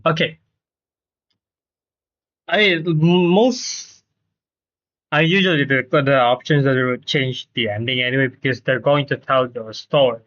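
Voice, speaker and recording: A man doing casual live game commentary, halting and repeating himself for emphasis. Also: medium pitch, a bit bright. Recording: dull, lo-fi stream audio.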